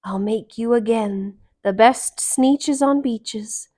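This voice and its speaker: A woman's voice: a little high, takes it slowly, warm tone. A woman voicing a slick, cheerful male travelling salesman in a children's storybook, with emphatic, persuasive patter. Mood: wily persuasion.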